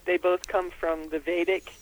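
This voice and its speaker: A woman's voice: lowish voice, little pitch movement, normal speed, low-quality recording. An older woman from Austria, a herbalist and author, giving interview answers in a thoughtful, explanatory way with frequent ums. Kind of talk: interview answers over the phone.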